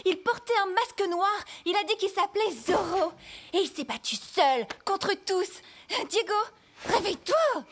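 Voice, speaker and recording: A woman playing a spirited, talkative young sister in a French cartoon dub, expressive and feisty. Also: very high-pitched, moderate pace. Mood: excited. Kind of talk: French animated series dubbing. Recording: music behind the voice.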